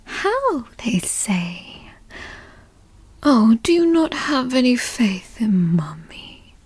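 A woman playing a doting, possessive yandere girlfriend who teases and coos in a soft, breathy ASMR roleplay whisper, close to the mic. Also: on the high side, sing-song, no echo, exaggerated voice. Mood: possessive, soothing. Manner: slow, breathy, cooing, teasing. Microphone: close microphone, dry.

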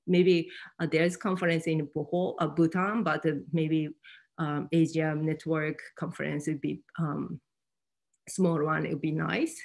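A woman with a Japanese accent presents informally in non-native English. Her voice sits a little low and has a noticeable creak. She speaks haltingly, leaning often on "like" as she builds each sentence. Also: a little mellow. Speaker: fab lab presenter. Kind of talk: informal presentation at an online meetup. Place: online video meeting.

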